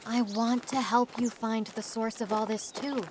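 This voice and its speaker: Audio drama role: actress as principled, cautious woman academic, high voice, blunt delivery, some background noise. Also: steady tone, measured pace, natural voice. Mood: confrontational. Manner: blunt, admonishing.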